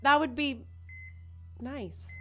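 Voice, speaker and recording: A woman's voice: sits high, very slow, sharp tone, soft background music, heavily acted. A woman playing a blunt, sardonic, protective worker in an audio drama, dry and terse.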